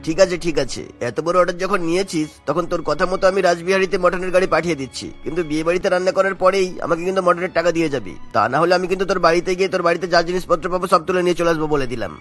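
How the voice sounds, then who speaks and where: Man playing a rapid-fire stern cartoon butcher. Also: high-pitched, fairly bright tone, faint music behind. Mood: grudging, warning. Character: gruff mutton butcher, stern grudging creditor.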